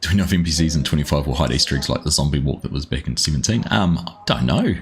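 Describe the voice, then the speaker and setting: A man's voice: rumbling low, expressive, quick pace. A man from New Zealand with a New Zealand accent, chatting casually with his live-stream viewers while playing a farming game, rambling and greeting them by name. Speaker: gaming livestreamer.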